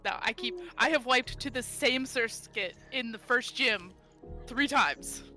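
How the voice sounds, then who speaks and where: A woman with a bright, fairly high voice talks casually while streaming a game. Music plays softly behind her as she chats with the group. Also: a little slow. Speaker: livestreamer playing games.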